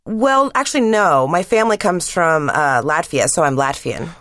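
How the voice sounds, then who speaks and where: A woman's voice: deep voice, lively intonation, normal speed, a bit bright, dry recording. A woman playing a polite, hesitant young student applying for volunteer work in a scripted exam listening dialogue. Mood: polite, thoughtful.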